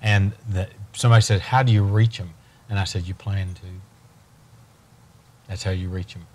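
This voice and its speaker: A man's voice: deep voice, fairly even intonation, moderate pace, darkish tone. An older man, a ministry leader on a TV studio set, speaking earnestly and repeating key phrases for emphasis. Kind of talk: earnest interview talk.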